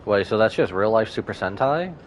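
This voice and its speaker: A man's voice: fairly low pitch, lively intonation, fairly quick, a little hiss or hum. A man doing casual live gameplay commentary as a streamer, playfully reacting and musing aloud. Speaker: game livestreamer. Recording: music behind the voice.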